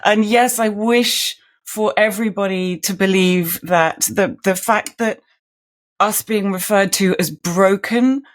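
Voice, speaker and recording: Earnest woman podcast host. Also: medium pitch. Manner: earnest, measured, warmly appreciative. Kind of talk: scripted intro then interview chat.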